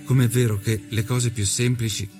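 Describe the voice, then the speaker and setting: A man playing a grave nineteenth-century journalist narrating his inquiry in a solemn, measured, literary way for a documentary. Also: mid-range voice, little pitch movement, natural voice. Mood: solemn, indignant. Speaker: voice actor in a documentary. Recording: voice mixed over prominent music. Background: music.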